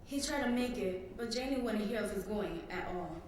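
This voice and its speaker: A woman's voice: medium pitch, lively intonation, normal speed, a little mellow. A teenage girl narrating a literary work in a dramatic student reading. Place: public reading in a hall. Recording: very echoey hall, distant mic, faint noise.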